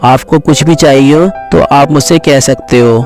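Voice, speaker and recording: A man's voice: medium pitch, fairly even intonation, normal speed, music in the background, lightly acted. A man voicing an obliging, easygoing young husband in a cartoon family story, polite and placating. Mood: courteous.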